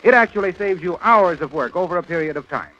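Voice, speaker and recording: A man's voice: sits high, lively intonation, fast, sharp tone, muffled recording. An American man announcing in a scripted 1930s radio style, on a crackly old broadcast recording.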